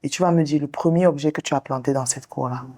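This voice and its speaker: A woman therapist hosting the show, leading a guest through a slow guided visualization and counseling talk in a soothing, directive way. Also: low voice, dark tone. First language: English. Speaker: sex therapist and show host.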